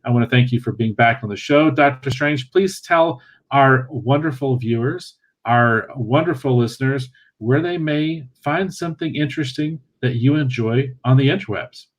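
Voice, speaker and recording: A man hosting a casual livestream show, wordy and courteous, in halting phrases. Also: medium pitch. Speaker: podcast host.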